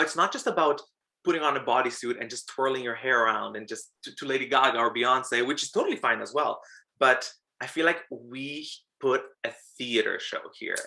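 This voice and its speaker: A man's voice: medium pitch, vocal fry, pushed and bright, rough recording. A young man from Greece, a drag performer, talking casually in an interview, chatty with frequent fillers and laughs.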